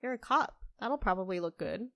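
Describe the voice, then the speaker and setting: A woman's voice: mid-range voice, animated, a little fast, bright, forceful tone, no echo. A woman playing a guarded, world-weary young person in an audio drama, dry, curt and defensive.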